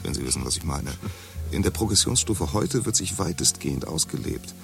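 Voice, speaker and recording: A man's voice: a bass voice, lively intonation, a little fast, creaky, over music, exaggerated voice. An actor playing a talkative, condescending man in a futuristic society who lectures his drinking companion. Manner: talkative, condescending, full of jargon. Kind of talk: radio drama acting.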